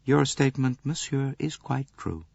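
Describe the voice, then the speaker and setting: A man voicing a shrewd, courteous detective, measured and probing, in an audiobook. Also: medium pitch, animated, gravelly, darkish tone, breathy edge, no room sound, highs cut off, voice pushed up. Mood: blunt. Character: shrewd Belgian male detective, polite, probing, unruffled.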